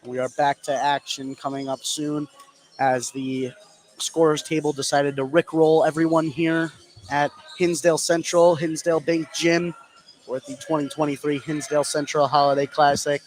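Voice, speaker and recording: A higher-voiced American man works as a play-by-play announcer at a basketball game. He gets excited and draws out words on big plays. Gym noise is audible behind him. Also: normal speed, poor audio. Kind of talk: live basketball play-by-play commentary. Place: indoor high school gym. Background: gymnasium ambience.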